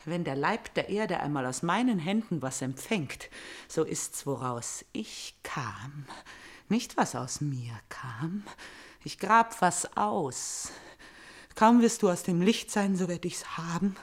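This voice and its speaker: An actress playing a vengeful, tormented young princess in a classical radio tragedy, declamatory and intense, swinging between whispers and outcries. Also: female voice, on the low side, animated, slow pace, heavily acted. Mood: wary, scornful. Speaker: radio drama actor. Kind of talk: classical tragic radio play acting.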